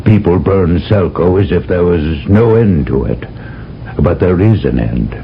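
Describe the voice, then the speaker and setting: A solemn military general who lectures in slow, measured phrases. His voice sits very deep. It comes through a dull, lo-fi old radio broadcast with faint hiss. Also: animated. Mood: grave. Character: grave older male general, commanding, briefing an agent. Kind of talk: 1970s radio drama acting.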